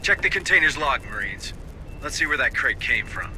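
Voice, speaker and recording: An actor playing a gruff, weary Marine sergeant in a video game, with a folksy, commanding manner. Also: male voice, mid-range voice, very animated, normal speed, close to an everyday voice. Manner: folksy, commanding military briefing. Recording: clearly noisy, vehicle and ambience behind.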